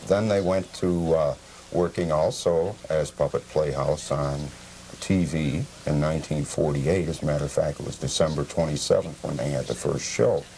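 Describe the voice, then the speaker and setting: Casting: male collector guest, extremely low voice, halting factual answers, faint tape noise. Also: fairly even intonation, creaky, mellow, low-quality recording. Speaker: collector being interviewed. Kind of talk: informal explanatory interview talk.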